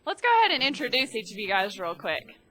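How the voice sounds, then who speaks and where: A woman interviewing performers at a campus show, upbeat and quick with short affirmations. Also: medium pitch, big pitch swings, sharp tone. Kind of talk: casual event interview.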